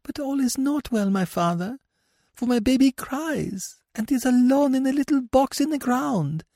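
Male reader putting on dead young mother, anguished, high-pitched, pleading, wailing, archaic storybook speech. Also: lively intonation, unhurried, dark tone, dry recording, clean studio sound, exaggerated voice. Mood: desperate. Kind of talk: dramatic storybook reading.

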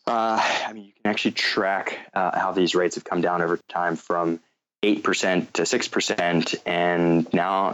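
An American man answering interview questions and explaining a business, often pausing and repeating words as he picks his phrasing. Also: sits low, a bit bright, highs cut off, slight background noise. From North Carolina, United States. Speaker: guest, fintech company founder.

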